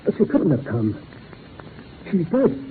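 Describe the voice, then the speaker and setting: An actor playing a fearful, distraught widower who stammers in agitation, in 1940s radio horror style. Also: male voice, high voice, wildly swooping pitch, slow, heavily acted. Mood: shaken, horrified. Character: distraught, fearful husband of a dead woman. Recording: tinny, hissy 1940s radio recording.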